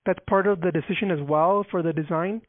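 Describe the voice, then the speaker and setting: A man with a high voice explains official procedure over the phone. He halts often with fillers and restarts. The line is tinny and thin, with a dry, close sound. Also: brisk, no room sound. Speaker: transportation department official. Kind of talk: phone call.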